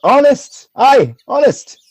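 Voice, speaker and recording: A man playing a proud military commander and loving husband turning suspicious, in theatrical Shakespearean radio play style, swinging from tender to forceful. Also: very high-pitched, big pitch swings, slow pace, dry recording, full character voice. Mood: suspicious, agitated.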